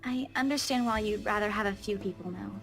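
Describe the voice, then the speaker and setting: A woman's voice: mid-range voice, a little fast, faint music behind, close to an everyday voice. An actress playing a caring, playfully proud young woman in a visual novel, with a chirpy, reassuring way of talking. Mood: subdued, reassuring.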